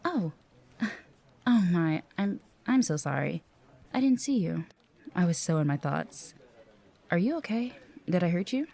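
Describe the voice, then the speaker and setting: A woman plays an earnest office receptionist in an audio drama. Her voice is low and mellow, and she speaks politely and conversationally, with a careful, hesitant manner. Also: normal speed, pauses often, breathy edge. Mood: apologetic, friendly. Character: friendly, earnest, cautious female office receptionist. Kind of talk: audio roleplay acting.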